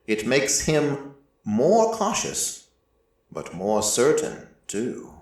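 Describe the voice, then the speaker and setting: A man playing an ancient, merciless deity who narrates a story in a measured, solemn way with deliberate pauses. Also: medium pitch, wildly swooping pitch, darkish tone, heavily acted. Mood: grave, reflective. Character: ancient merciless deity, male-voiced, reflective and quietly fond. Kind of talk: scripted first-person dramatic narration. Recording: echoey room, slightly distant from mic.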